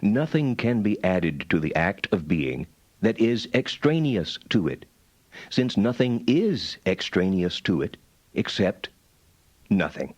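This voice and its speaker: An actor playing a solemn medieval philosopher-theologian in an audiobook, reciting his doctrine in a measured, declamatory manner. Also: lowish voice, sing-song, a little mellow, no echo, heavily acted. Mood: solemn, assured. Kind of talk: dramatized reading of philosophical writings.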